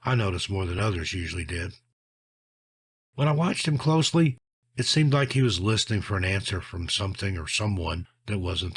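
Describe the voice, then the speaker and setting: A man narrating scripted scary stories in a measured, dramatic storytelling manner, letting his phrases drop at the end. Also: low-pitched, a bit bright, husky.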